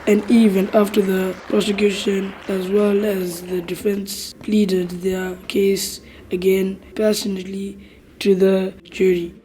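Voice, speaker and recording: A woman's voice: mid-range voice, flat delivery, a little slow, mellow, slight background noise, poor audio. A woman presenting scripted school newsreel narration as a news reader.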